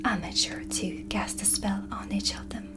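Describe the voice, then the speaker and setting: A woman playing a brooding, blunt dark witch in an ASMR roleplay, speaking in a breathy, deliberate and ominous way with pauses. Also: mid-range voice, fairly even intonation, close to an everyday voice. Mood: quietly threatening. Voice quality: breathy whisper. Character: dark witch, brooding, blunt, mysterious tea-reading hostess. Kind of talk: soft-spoken ASMR cinematic roleplay. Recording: background music under close whisper. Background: ambient music.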